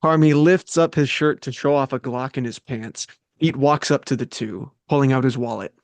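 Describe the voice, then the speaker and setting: A man's voice: mid-range voice, moderate pace, dry recording. Male narrator for a script read, medium register, delivering stage directions in a dry space.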